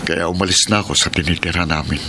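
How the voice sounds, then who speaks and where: A low-voiced Filipino husband, wounded and bitter after betrayal. He speaks with dramatic feeling in radio drama style, over a loud music bed. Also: fairly even intonation, fast talker. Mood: bitter, regretful. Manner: emotional, dramatic delivery.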